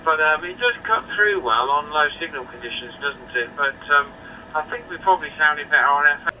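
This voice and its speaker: Dull distant recording, man, slightly high pitch, conversational, hesitant. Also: fairly quick. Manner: conversational, hesitant, tag questions. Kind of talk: casual two-way radio chat. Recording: distant, dull, faintly noisy sound.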